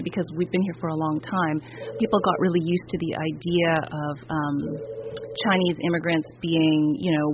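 A Canadian woman, a novelist, answering interview questions in a thoughtful conversational way with frequent pauses and hesitations. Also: low-pitched. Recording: muffled radio audio, faint hiss.

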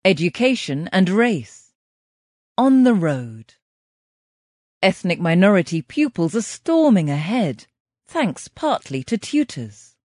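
A woman announces a section heading and headline slowly. Her voice is on the low side and moves up and down a lot. The sound is dry. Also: big pitch swings, darkish tone, no room sound. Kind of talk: scripted headline reading.

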